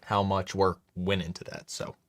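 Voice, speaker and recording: A man's voice: low voice, monotone, moderate pace. A man hosting a casual live stream, chatting loosely with many fillers and restarts while reading chat. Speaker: livestream host.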